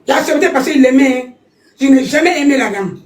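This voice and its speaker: A woman's voice: high voice, animated, quick pace, background noise. Older mother speaking with roomy echo. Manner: emphatic, repetitive, laughing through relief. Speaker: mother of the guest. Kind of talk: emotional call-in remarks.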